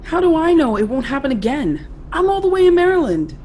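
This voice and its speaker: Hurt, demanding lover, lively high voice. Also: female voice, a little fast, a little hiss or hum. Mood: hurt, distrustful. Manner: indignant, insistent, emotionally guarded. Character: hurt, demanding younger woman in love with brother's best friend. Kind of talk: contemporary audio drama acting. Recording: music under the voice.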